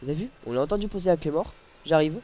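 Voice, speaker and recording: Gaming YouTuber with a head cold, higher mellow voice, hesitant and rambling over his gameplay, some faint noise behind. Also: animated, racing. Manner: rambling, hesitant, many fillers. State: has a cold. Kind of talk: casual gameplay commentary. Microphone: desk mic.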